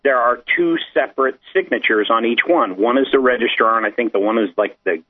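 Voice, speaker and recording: A man's voice: medium pitch, brisk, slightly rough. A man giving a conversational technical explanation, step by step, as a guest. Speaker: guest explaining digital documents. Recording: muffled, tinny phone line.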